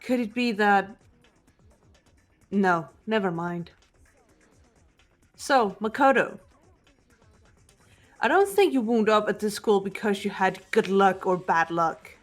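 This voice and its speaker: A woman voicing a cool, composed teenage girl detective in a video game, measured, serious and earnest. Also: medium pitch, faint music behind, pitched below the performer's own voice, natural voice. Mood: solemn, encouraging. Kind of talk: fan voice acting of a video game script.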